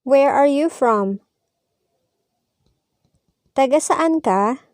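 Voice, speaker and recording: A woman's voice: sits high, expressive, very slow, a little mellow, no echo, clean studio sound. A woman reading both parts of a scripted practice dialogue, each line followed by its translation. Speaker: language teacher.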